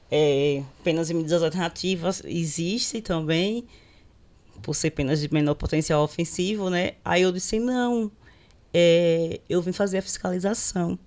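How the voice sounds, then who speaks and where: A woman in her thirties from Sergipe, with a Sergipe Brazilian accent, telling stories in an unhurried, conversational way as a guest on a videocast, often tagging her phrases with a questioning aside. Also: on the low side, darkish tone. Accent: Sergipe Brazilian Portuguese. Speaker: public servant guest on a videocast. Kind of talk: conversational storytelling in a panel discussion.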